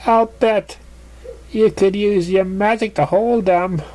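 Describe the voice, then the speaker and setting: A reader putting on a silly female pegasus pony for a cartoon fan tale, well above normal pitch. She gushes breezily and sounds exuberant and fun. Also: steady tone, slow pace, warm tone, slight background noise, close to an everyday voice. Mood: breezy. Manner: breezy, gushing.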